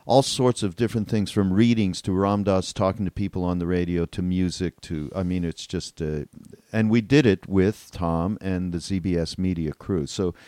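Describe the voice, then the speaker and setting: An older man hosting a casual podcast interview, speaking in a halting, rambling way. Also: low-pitched, fairly even intonation, mellow, dry recording. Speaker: podcast co-host.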